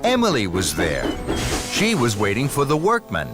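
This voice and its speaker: A man narrating a scripted children's story in an expressive storytelling manner. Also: medium pitch, moderate pace. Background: music.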